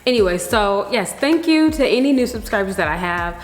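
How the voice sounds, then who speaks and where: A woman's voice: medium pitch, expressive, brisk. A middle-aged woman vlogger chatting candidly to camera, a little nasal from a slight cold, rambling and reflective. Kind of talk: casual reflective chat to camera. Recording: echoey room with long reverb.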